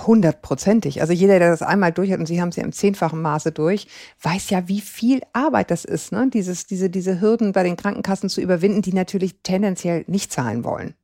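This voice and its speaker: A woman's voice: lowish voice, a little fast, hardly any pauses, dark tone, dry recording, studio quality. A middle-aged woman hosting a conversational interview, engaged and emphatic as she gives her own opinions. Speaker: interviewer, host.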